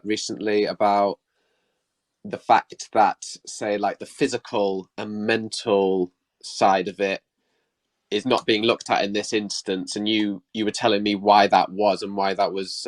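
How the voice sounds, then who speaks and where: Male host with a head cold, lowish, blocked-up and apologetic, leans on fillers. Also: little pitch movement, unhurried. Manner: apologetic, filler-heavy, loose. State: congested with a cold.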